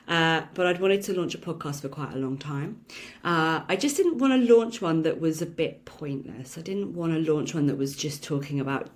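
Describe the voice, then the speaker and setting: A woman hosting a solo podcast, talking in a chatty, reflective way with lots of "um"s and a slightly croaky voice. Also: sits low. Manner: chatty and reflective, many "um" fillers, laughs now and then. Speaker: podcast host.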